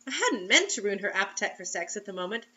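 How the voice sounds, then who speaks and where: A woman's voice: mid-range voice, big pitch swings, fast talker, sharp tone, lo-fi sound. A woman reading a story aloud as host in a playful, theatrical, mocking way, breaking into casual comic asides. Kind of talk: comedic dramatic reading with casual commentary.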